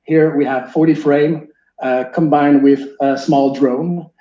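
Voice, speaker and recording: French-Canadian male teacher, 40s, earnest lecturer, raised mellow voice, echoey lo-fi recording. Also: a little high, steady tone, slow pace. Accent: Québécois French. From Quebec, Canada. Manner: earnest, explanatory, with frequent fillers and restarts. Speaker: teacher giving a seminar. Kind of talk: online seminar presentation. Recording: echoey room, muffled lo-fi sound.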